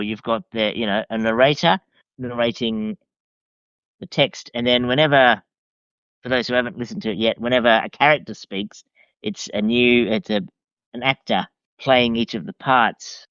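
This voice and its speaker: An Australian man chatting casually as a podcast guest, enthusiastic but hesitant and halting in his phrasing. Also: medium pitch, fairly bright tone, clean tone, no room sound, dull audio. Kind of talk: casual chat.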